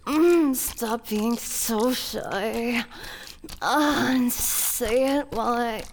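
An adoring, possessive elven princess, acted by an adult woman in an intimate audio roleplay. Her voice is fairly high and often creaky, breathy and sliding into whispers, with moans between phrases. A faint noise sits behind her. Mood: commanding, aroused. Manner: slow, breathy, moaning, often dropping into whispers.

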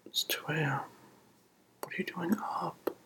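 A roleplay performer in a soft, soothing ASMR whisper, playing a comforting partner and repeating gentle reassurances. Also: mid-range voice, steady tone, a little slow. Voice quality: breathy whisper.

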